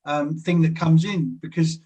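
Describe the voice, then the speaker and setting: Husky man interviewing. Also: on the high side, fairly even intonation, unhurried, warm tone. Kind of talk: casual interview chat.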